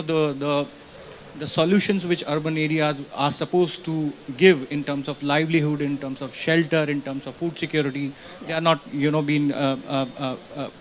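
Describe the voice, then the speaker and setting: Guy asking a question at a panel, kinda high voice, halting, lots of you knows. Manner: halting, frequent "you know". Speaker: audience questioner. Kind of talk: impromptu question at a panel event. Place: conference pavilion with small audience. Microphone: handheld event microphone.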